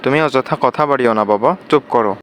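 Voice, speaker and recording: A man's voice: medium pitch, a little fast, a bit bright, a little hiss or hum, lightly acted. A man voicing a meek, plaintive young village boy in a fairy-tale cartoon. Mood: pleading. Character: gentle, sad young village boy, motherless and dutiful.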